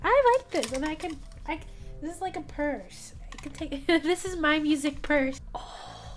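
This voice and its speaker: Bubbly high-voiced young woman reviewer. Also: expressive, measured pace, over loud music. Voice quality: soft voice. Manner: bubbly, chatty, frequent gasps and laughs. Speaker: product reviewer. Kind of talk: casual product review. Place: small home studio room, indoors. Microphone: studio mic on boom arm.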